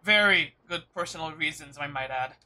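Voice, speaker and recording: A man streaming a game, reacting casually and musing aloud in a hesitant, halting way. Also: fairly high pitch, pushed and bright, soft background music.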